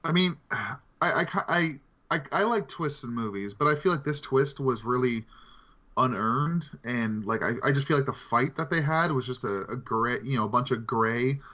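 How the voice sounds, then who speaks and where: A man's voice: medium pitch, moderate pace, bright, forceful tone. A man co-hosting a podcast in casual conversation, recorded on slightly dull, lo-fi audio. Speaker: podcast co-host. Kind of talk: casual movie-discussion chat.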